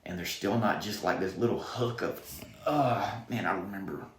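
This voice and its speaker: A man's voice: low voice, moderate pace, echoey room, a little hiss or hum. A man with a Southern accent, a pastor speaking earnestly in a podcast interview and quoting scripture. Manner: earnest, preacherly, quotes scripture. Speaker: student pastor, guest.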